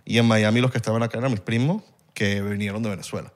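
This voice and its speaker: Un hombre joven criado en México, invitado de un pódcast, que charla de forma suelta y mezcla palabras en inglés. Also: male voice, on the low side, steady tone. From Mexico. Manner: fast, loose, mixes English words into Spanish. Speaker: podcast guest. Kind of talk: casual chat.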